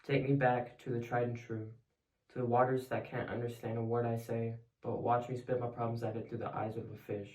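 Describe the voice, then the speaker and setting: A teenage boy, a high school student, reciting his own poem at a reading. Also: little pitch movement, fairly quick, darkish tone. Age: teens. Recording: roomy echo, distant mic.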